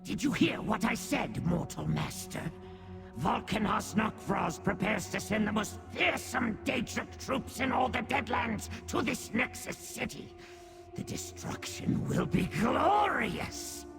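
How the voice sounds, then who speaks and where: A man voicing a small demonic rat servant in a video game, gravelly, grovelling and grandiose in a theatrical way. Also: sits high, animated, a little slow, over music, exaggerated voice. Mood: eager, gleeful. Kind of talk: video game character acting.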